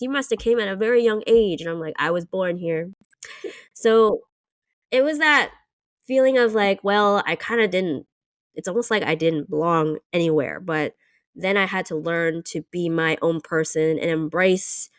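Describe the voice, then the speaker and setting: A young woman with a lowish, smooth voice, recorded close and dry. She talks reflectively and personally, easing through her own story in a casual podcast chat. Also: no room sound. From United States. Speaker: podcast co-host.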